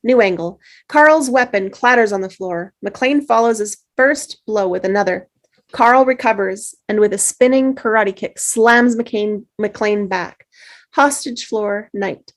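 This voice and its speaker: A woman's voice: medium pitch, unhurried. Woman reading stage directions.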